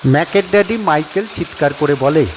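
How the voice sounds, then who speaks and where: A man narrating a horror audio story at a steady, measured pace, his pitch sitting in the middle range. A faint hiss of noise lies under his voice.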